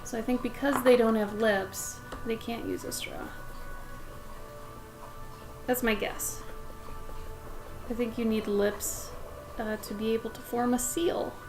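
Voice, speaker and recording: A young woman with a somewhat raspy voice, chatting casually while she draws on a livestream and talks to her dog and to viewers. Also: on the high side, level tone, takes it slowly, long pauses, music in the background, poor audio. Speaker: livestreaming pet portrait artist. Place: home art studio with plastic bins.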